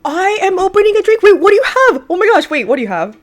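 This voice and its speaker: Podcast co-host, woman, animated pitch, casual sisterly banter. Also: fast. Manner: chatty, says "girl" a lot. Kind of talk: casual chat between sisters.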